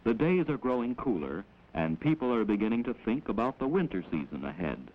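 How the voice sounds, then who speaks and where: A man with an American accent gives measured, instructive scripted narration in the manner of a 1940s educational film, on an old film soundtrack. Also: mid-range voice, sing-song. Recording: dull, noisy old 16mm film soundtrack.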